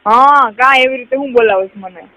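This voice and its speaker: A woman's voice: on the high side, normal speed, bright, forceful tone. A young woman with a Saurashtra Gujarati accent, chatting casually on a phone. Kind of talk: casual chat on a phone call. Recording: phone call recording, faint noise.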